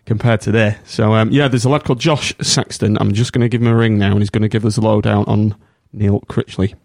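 A man's voice: fairly low pitch, fairly even intonation, fast talker, gravelly, a little mellow, no echo, studio quality. A man hosting a casual podcast chat, friendly and rambling with many restarts. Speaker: podcast host.

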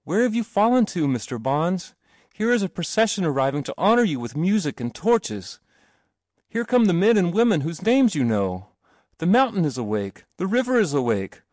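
A man voicing an eager, earnest young boy in a storybook reading, exclamatory and excitable. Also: medium pitch, brisk, hardly any pauses, dry recording, studio quality, lightly acted. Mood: eager, calling.

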